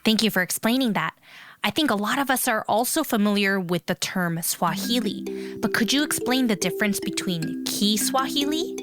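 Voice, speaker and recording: A woman's voice: medium pitch, expressive, normal speed. Upbeat woman hosting a kids' podcast. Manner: upbeat, reads out listener messages. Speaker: podcast host. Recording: music bed underneath.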